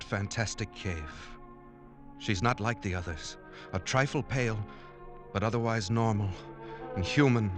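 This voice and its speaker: A man's voice: lowish voice, close to an everyday voice. Guy acting troubled earnest man in old time radio adventure, dramatic and confiding, music behind him. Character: earnest, troubled man trapped among secretive strangers, confiding in his diary. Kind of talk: 1940s-50s radio drama acting with diary narration.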